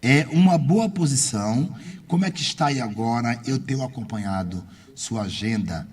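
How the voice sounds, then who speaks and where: A man's voice: mid-range voice, lively intonation, normal speed, a little mellow, breathy edge, far from the mic. A man with a Brazilian accent interviewing a guest on the radio, effusive and formal, asking long winding questions. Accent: Brazilian Portuguese.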